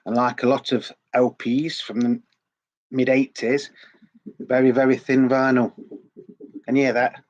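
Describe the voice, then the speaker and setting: A man's voice: mid-range voice, little pitch movement, a little slow, pauses often, darkish tone, lo-fi sound. A middle-aged man with a sore throat, chatting in a rambling, repetitive way as host of a record collecting vlog. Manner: rambling, chatty, repeats words often. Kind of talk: casual vlog chat.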